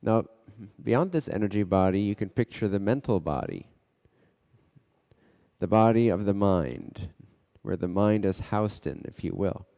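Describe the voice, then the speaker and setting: Man, meditation guide, very mellow dark tone, unhurried short phrases, long reverb. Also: lowish voice, animated, clear, resonant tone. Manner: measured, halting delivery in short phrases with pauses.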